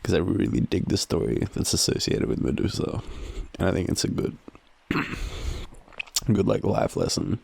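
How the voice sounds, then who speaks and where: A young Australian man in a drowsy, halting, casual boyfriend roleplay, murmuring softly as he rambles through a story with slangy swearing. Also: deep voice, expressive, vocal fry, husky, no room sound. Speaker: audio roleplay performer playing a boyfriend. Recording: faint background ambient noise.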